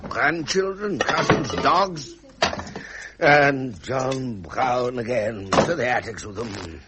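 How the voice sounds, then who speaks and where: Gruff ageing English king played by a man, imperious and blustering, slow delivery, vintage radio drama with clear noise. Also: mid-range voice, natural voice. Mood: disgusted, impatient. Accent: upper-class English. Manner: blustering, imperious, muttering in clipped fragments. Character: gruff, impatient ageing royal heir turned king. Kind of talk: 1970s British radio drama acting. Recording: noisy vintage radio recording.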